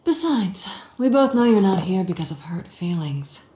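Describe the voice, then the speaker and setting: A woman's voice: medium pitch, big pitch swings, normal speed, warm tone, lightly acted. A woman voicing a sly, flirtatious, cynical cat-burglar antiheroine in a video game, with a teasing, sardonic manner. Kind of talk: cinematic video game voice acting. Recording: game soundtrack music behind, roomy reverb. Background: background music.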